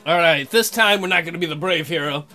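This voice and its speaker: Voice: mid-range voice, fast talker, pushed and bright, soft background music. A let's play host reading game text aloud with bawdy, joking, playful asides.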